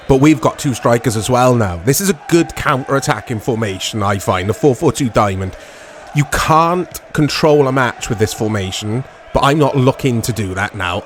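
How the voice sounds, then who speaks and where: Slow-paced man with a strained voice. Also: medium pitch, slight background noise. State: voice strained, going hoarse. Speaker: gaming channel host. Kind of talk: casual football video game commentary.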